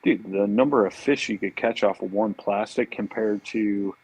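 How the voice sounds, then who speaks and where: A man talks fishing in a casual, laid-back style full of slang. His voice is fairly low and husky, and he often repeats himself as he goes. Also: moderate pace, darkish tone, rough recording. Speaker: podcast guest, fishing tackle marketer.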